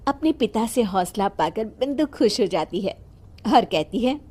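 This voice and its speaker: A woman's voice: fairly high pitch, animated, a little slow, darkish tone, husky. A woman narrating a scripted family story as a storyteller.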